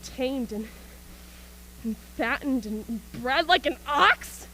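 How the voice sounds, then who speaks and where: A woman's voice: high-pitched, lively intonation, slow, pushed and bright, slight background noise, heavily acted, poor audio. A woman acting an embittered, manic militia soldier in a stage radio play, ranting in colloquial speech about surviving an invasion. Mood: defiant, manic. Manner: colloquial, ranting, punctuated by scornful laughs. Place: school auxiliary gym.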